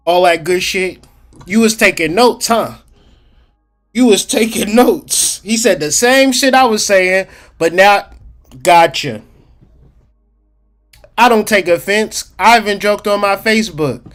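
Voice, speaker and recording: A man hosting a casual livestream about psychology, streetwise and slangy, talking straight to his chat and slowing down to stress key points. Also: high-pitched, a bit bright, soft background music. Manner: emphatic, slows down to stress points. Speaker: livestream host.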